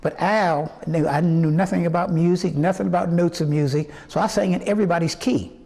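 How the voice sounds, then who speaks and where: Man, 80s, from West Virginia, slightly high voice, rambling interview storyteller. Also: fairly even intonation, vocal fry, a little mellow. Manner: halting, rambling storyteller with many fillers and restarts. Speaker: singer interviewed about his past. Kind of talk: reminiscing interview answers.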